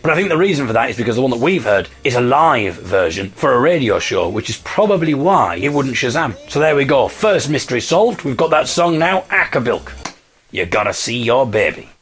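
A man with a slightly husky voice hosting a podcast, telling a story conversationally with enthusiastic, emphatic delivery and dramatic repetition. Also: medium pitch, very animated, fairly quick, faint music behind. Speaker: podcast host.

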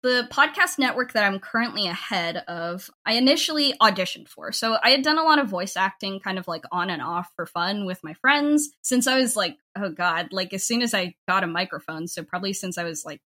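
Bright-voiced young woman chatting in an interview. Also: mid-range voice, animated, fairly quick, hardly any pauses. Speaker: podcast network head and audio drama writer. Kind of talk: casual interview chat.

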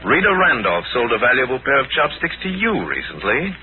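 Suave urbane crimefighter, measured and commanding, old radio show, tinny with faint hiss. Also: male voice, mid-range voice, huge pitch swings. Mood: probing. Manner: measured, courteous, authoritative. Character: cool, urbane male crime-fighting detective. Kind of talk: 1940s radio drama acting.

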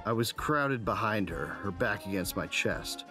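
A man's voice: on the low side, animated, measured pace, music in the background. An actor playing a devoted husband and adventurer who narrates his own story in an audio drama. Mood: somber narration.

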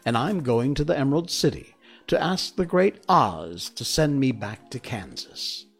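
A man voicing a polite, kind little farm girl in a storybook, with a gentle, innocent and courteous manner. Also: mid-range voice, big pitch swings, measured pace, vocal fry, breathy edge, soft background music, pitched above the performer's own voice, exaggerated voice.

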